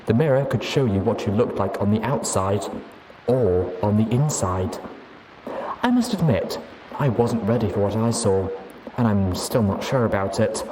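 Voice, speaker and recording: A man's voice: fairly low pitch, background noise. A man voicing a polite, studious female pony sorceress reading her letter aloud, earnest and formal with hesitant asides. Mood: concerned, courteous. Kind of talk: acted audiobook letter reading.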